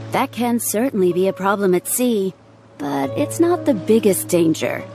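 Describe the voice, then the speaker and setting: An actress voicing a calm, practical female ship's surgeon in a game, patient and matter-of-fact as she instructs. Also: fairly low pitch. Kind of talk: video game cutscene dialogue.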